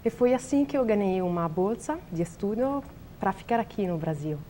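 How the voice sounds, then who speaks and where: A young Italian woman with an Italian accent, a biologist answering interview questions in a language she is still learning, pausing often to search for words. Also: medium pitch, animated, dark tone, a little hiss or hum. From Italy. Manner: hesitant, searching for words, many fillers.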